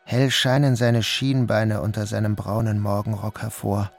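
An actor narrating as a guilt-ridden young man in a literary radio play, in a subdued, measured way. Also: fairly low pitch, flat delivery, vocal fry, faint music behind.

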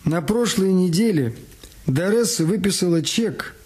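A man's voice: high voice, expressive, measured pace, a little mellow, a little hiss or hum. An actor playing a police sergeant who gives his report in a matter-of-fact way in a radio play.

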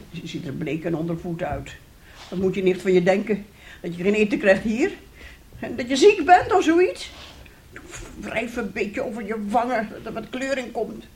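Bossy, wheedling mother, animated. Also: medium pitch, a little hiss or hum. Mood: stern. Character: bossy, scheming, self-pitying mother coaxing her son. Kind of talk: radio play acting.

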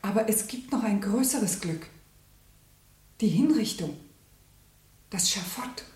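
Devoted underground revolutionary, radio play. Soft dark timbre, middle register, earnest and grave, roomy acoustic. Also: female voice, medium pitch, lively intonation, slow pace. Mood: solemn. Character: grave, devoted woman revolutionary, comforting and resolute. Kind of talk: German literary radio play acting.

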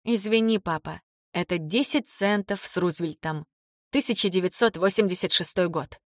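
A woman's voice: lowish voice, normal speed, dry recording, studio quality, lightly acted. A woman voicing a hesitant, devoted grown daughter in audiobook dialogue, in a conversational way. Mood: unsure.

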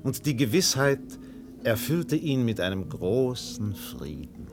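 Radio play narrator: grave literary storytelling, highly varied pitch, light music bed underneath. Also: male voice, sing-song, unhurried. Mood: grave, suspenseful. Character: grave male literary narrator of a tropical tale.

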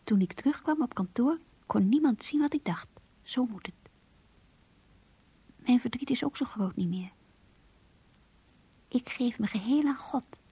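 A woman's voice: on the high side, animated, unhurried, pauses often, warm tone, husky, no room sound, exaggerated voice. An actress playing an earnest, melancholy young office clerk reading her diary in a radio drama, introspective and subdued. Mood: wistful, resigned.

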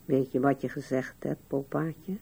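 An older woman acting in a radio drama as a musing, wistful old widow with a gravelly, husky voice. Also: a bass voice, steady tone, measured pace, mellow, exaggerated voice. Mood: tender, sorrowful.

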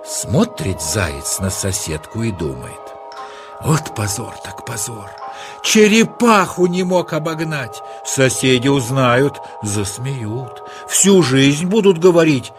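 A man narrating a children's story in a dramatic, expressive, exclamatory style. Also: on the high side, slow, over music.

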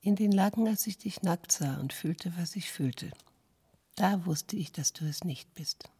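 An actress playing a disillusioned woman in a radio play, coldly accusing her lover in a husky half-whisper. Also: lowish voice, unhurried, warm tone, exaggerated voice.

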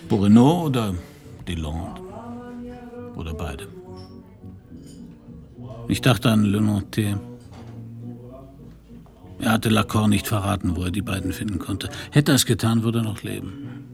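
Hard-boiled private eye, laconic noir radio narration, lowish gravelly voice, music underneath. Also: male voice, a little slow, long pauses. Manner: terse, laconic. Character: world-weary male private detective, hard-boiled narrator. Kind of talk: German radio crime drama, first-person noir narration and dialogue.

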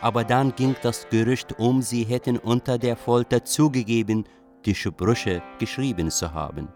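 A man's voice: mid-range voice, normal speed, darkish tone, natural voice. An actor playing a dryly reflective man who confesses his rebellious youth in a radio drama monologue. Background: guitar music.

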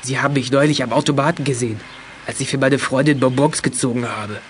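A man's voice: medium pitch, fairly even intonation, fast, slight background noise, close to an everyday voice. A young man acting a shy, earnest teenage boy in a children's audio drama, speaking hesitantly. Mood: flustered. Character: shy, flustered teenage boy confessing and pleading innocence.

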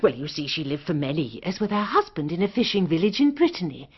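An actress playing a nervous, chatty, genteel middle-aged English spinster in a radio drama, fluttery and hesitant, with a breathy, husky voice. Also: female voice, on the low side, big pitch swings, fast, highs cut off. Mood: earnest, fluttery.

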